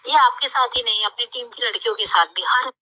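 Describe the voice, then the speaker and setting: A woman's voice: on the high side, a little fast. A woman on a recorded phone call, talking privately and informally in an insistent, aggrieved way. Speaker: caller on a recorded phone conversation.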